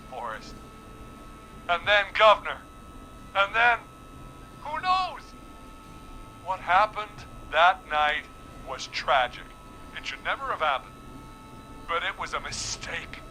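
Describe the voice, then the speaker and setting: A man's voice: on the high side, big pitch swings, slow pace, pauses often, pushed and bright, slight background noise, full character voice, rough recording. An actor playing an arrogant, defensive young man from a powerful small-town family in a video game, sounding entitled and self-justifying.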